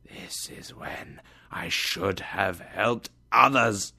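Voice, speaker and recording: A mournful ghost of a dead old businessman, dragging his chains and speaking in a hushed, breathy whisper, as a storyteller puts him on. The voice sits low and drawn out, grave and full of regret. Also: full character voice. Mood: regretful. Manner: slow, grave.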